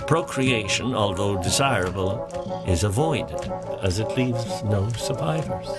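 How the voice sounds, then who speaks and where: An actor playing a pompous, excitable male nature documentary presenter in a mock-serious animated short, theatrical and self-important. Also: fairly low pitch, measured pace. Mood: solemn, intrigued. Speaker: narrator. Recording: music bed behind the voice.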